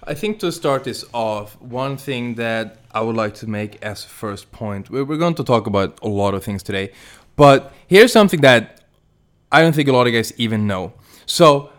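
A man's voice: medium pitch, expressive, moderate pace, smooth. Dry-humored male podcast co-host. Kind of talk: casual chat.

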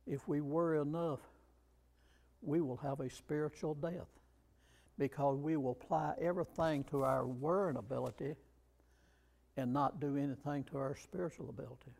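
A man's voice: fairly high pitch, steady tone, normal speed, gravelly, long pauses, darkish tone. A man preaching a sermon, emphatic and fond of rhetorical questions to the congregation.

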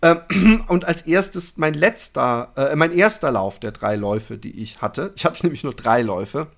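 A man's voice: fairly high pitch, expressive, a little slow, vocal fry. A man co-hosting a casual podcast, bantering about running in a teasing, playfully boastful and crude way. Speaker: podcast co-host.

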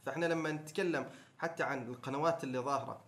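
A man's voice: medium pitch, very fast. A man with an Omani Arabic accent talking casually and debating as a podcast co-host. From Oman.

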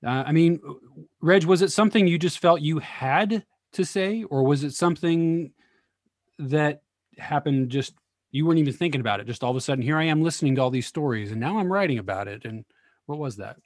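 A man's voice: fairly high pitch, lively intonation, a little fast, no echo. Earnest man asking rambling questions, dry sound. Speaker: panel moderator. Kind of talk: panel discussion over video call.

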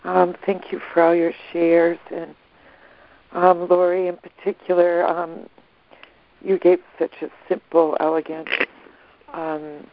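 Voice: medium pitch, little pitch movement, takes it slowly, pauses often, slight background noise. A caller sharing on a group call, friendly and hesitant with many fillers. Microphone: phone on a conference call. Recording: thin phone-like conference line.

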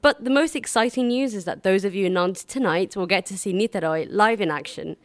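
A woman's voice: mid-range voice, fairly quick, dry recording. Woman hosting a radio music show. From Brazil. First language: Portuguese. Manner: steady, informative read from a script. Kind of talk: scripted radio announcing.

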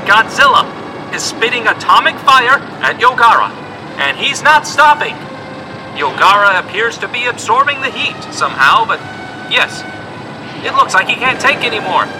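An actor playing an excited, melodramatic reporter giving live commentary in an audio drama, announcing breathlessly. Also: male voice, very high-pitched, normal speed, noisy background. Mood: excited, awed.

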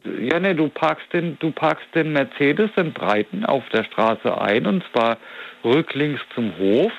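A man's voice: on the high side, sing-song, unhurried, gravelly, lo-fi sound, slight background noise. A man of about forty talking in a casual interview, reflective and rambling as he searches for his words. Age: 40s. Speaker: interview guest.

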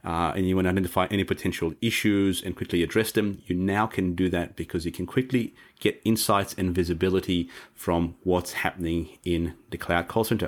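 Low-voiced guy hyping up cloud features, keeps saying things are fabulous and super exciting. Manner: enthusiastic, promotional, repeats "which is fabulous". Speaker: co-host. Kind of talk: casual tech podcast chat.

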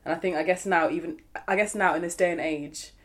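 A woman's voice: on the low side, fairly even intonation, quick pace. A woman from Zimbabwe chatting casually on a podcast, self-mocking and often laughing as she talks. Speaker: podcast co-host. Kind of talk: casual group chat.

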